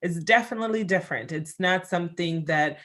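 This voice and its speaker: A woman's voice: fairly low pitch, fairly quick, fairly bright tone. A middle-aged woman from Congo, raised in Belgium, answering interview questions in a chatty way with many fillers and restarts. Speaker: interview guest.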